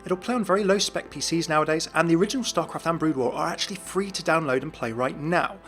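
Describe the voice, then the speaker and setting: A man's voice: a little high, fast talker, fairly bright tone, soft background music. A man hosting a video, giving scripted narration of video game lore.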